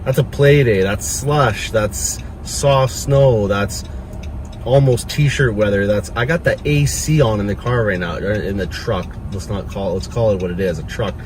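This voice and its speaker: A man's voice: on the low side, unhurried. A man chatting casually to his viewers in a rambling, slangy, laid-back hype style while driving in a truck. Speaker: vlogger. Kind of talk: casual vlog chat to camera. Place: inside a truck being driven. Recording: loud vehicle and air-conditioning noise. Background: truck engine and road noise.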